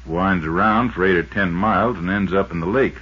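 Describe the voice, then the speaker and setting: A man acting a plain-spoken, folksy fishing guide in a 1950s radio drama, talking in a drawn-out, colloquial way. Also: sits low, husky. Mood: matter-of-fact. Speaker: supporting actor. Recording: muffled, very noisy 1950s radio recording.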